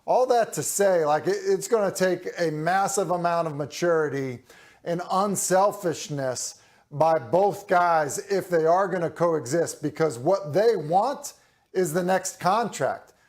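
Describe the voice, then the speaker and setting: A man in his forties, a studio analyst, arguing his sports take deliberately on a talk show. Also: fairly high pitch. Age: 40s. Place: remote studio set.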